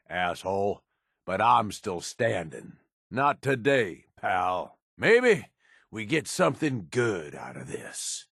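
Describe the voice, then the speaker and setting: An actor playing a tough, cynical middle-aged raider henchman in a video game, with a gravelly voice and rough, folksy, sardonic slang. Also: medium pitch, fairly bright tone, no room sound, studio quality, exaggerated voice. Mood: relaxed, sardonic. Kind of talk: video game character voice lines.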